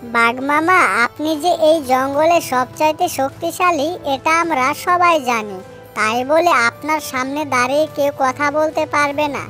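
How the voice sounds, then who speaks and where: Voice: sits high, bright, forceful tone. A performer voicing a small, brave bird in a cartoon moral story, speaking politely but firmly, in a childlike voice.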